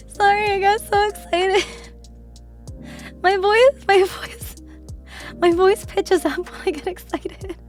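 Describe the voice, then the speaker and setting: Giggly streamer woman with a worn voice. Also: faint music behind. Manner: giggly, laughs often, deliberately lowered voice; chatty, rambling with fillers. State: voice worn from a bad cough. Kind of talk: casual livestream chat.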